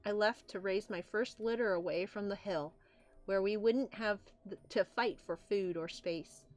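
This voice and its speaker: A woman's voice: medium pitch, measured pace, faint music behind, lightly acted. A woman voicing a weary mother rabbit from a comic, solemn and subdued as she speaks. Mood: sorrowful. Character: weary, forgiving mother rabbit scarred by loss.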